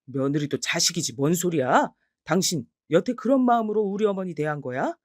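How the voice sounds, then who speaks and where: A woman's voice: lowish voice, expressive, a little fast, a little mellow, dry recording, clean studio sound. Stubborn middle-aged husband, read by a woman; curt, plain delivery.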